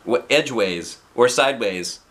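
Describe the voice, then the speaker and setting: A man reading a picture book aloud as a dad would, playful and expressive, with casual asides to a child. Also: mid-range voice, normal speed.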